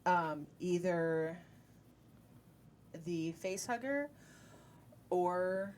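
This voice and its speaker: A woman's voice: lowish voice, very slow, a little mellow, slight background noise. A woman acting as game master for a casual tabletop roleplaying game, hesitantly thinking aloud as she rules on play.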